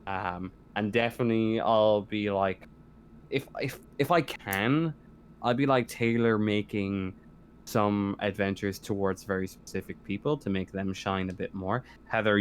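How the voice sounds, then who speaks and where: A man's voice: lowish voice, steady tone, normal speed, a little hiss or hum. A man running a tabletop roleplaying game, chatting casually with his players in a rambling, hesitant, sweary way.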